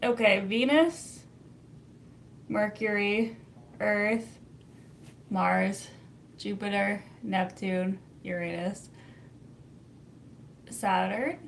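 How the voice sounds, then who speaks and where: A woman's voice: medium pitch, steady tone, extremely slow, gravelly, long pauses, slight background noise. A young Canadian woman with a Canadian accent, hosting a casual livestream, chatty and laughing often as she answers viewer comments. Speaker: livestream host reading chat.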